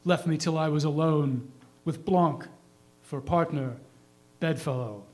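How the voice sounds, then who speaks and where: A man playing a proud dead small-town gentleman, reciting an epitaph in a measured, declamatory reader's theatre style. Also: fairly high pitch, close to an everyday voice. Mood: solemn, forlorn. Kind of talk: dramatic monologue, staged poetry reading.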